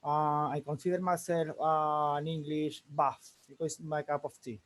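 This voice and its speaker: Male English teacher from Spain, lightish voice, halting self-introduction. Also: on the high side, level tone. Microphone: video call.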